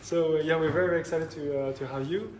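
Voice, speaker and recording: A man's voice: on the high side, steady tone, fast talker, a little mellow. A man hosting a panel talk at a maker space event, speaking casually with many fillers. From France and Japan. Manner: hesitant, many fillers, friendly. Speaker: event host. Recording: noisy room ambience.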